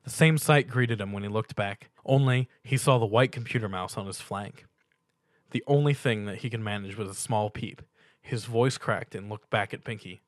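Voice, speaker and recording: A man's voice: fairly low pitch, moderate pace, dry recording, studio quality. A man narrating a scripted fan fiction story as a dramatic reading.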